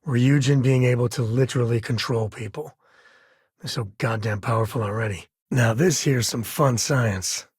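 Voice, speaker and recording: An easygoing spacefaring cowboy for a video game. His middle-pitched voice is heavily husky, with folksy turns of phrase and dry sarcasm. He comes across as a wry, devoted father, recorded dry without room sound. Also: normal speed, darkish tone, dry recording. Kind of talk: video game character dialogue.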